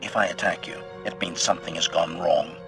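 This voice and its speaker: Low-voiced, coldly murderous robot assassin. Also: male voice, fairly even intonation, fairly quick, gravelly. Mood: loyal, insistent. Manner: formal, clipped, announces each statement with a label. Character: cold, calculating male assassin droid, gleefully bloodthirsty, loyal servant. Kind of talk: scripted video game acting. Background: background music.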